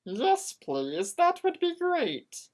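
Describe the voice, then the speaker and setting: A woman's voice: extremely high pitch, huge pitch swings, drawn-out words, darkish tone, voice pushed up, exaggerated voice. A woman voicing a polite, puzzled young heartthrob man in an amateur fanfiction reading. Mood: grateful.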